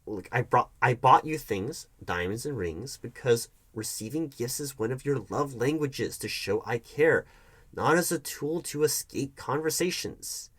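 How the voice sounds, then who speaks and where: A man acts out a defensive, evasive hiring manager in an audio roleplay, arguing with his ex. His mid-pitched voice swings widely up and down. He stammers and repeats himself as he argues each point. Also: expressive, fairly bright tone, slightly rough. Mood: defensive, exasperated. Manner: argumentative, halting with repeated, stammered words. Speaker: roleplay performer. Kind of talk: one-sided audio roleplay acting.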